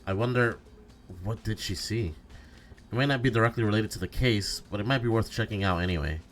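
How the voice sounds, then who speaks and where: A man's voice: on the low side, fairly quick, fairly bright tone, lightly acted. A man voicing an earnest, anxious teenage schoolboy in amateur visual novel dialogue, hesitant and prone to stammering. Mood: puzzled, pensive. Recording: music behind.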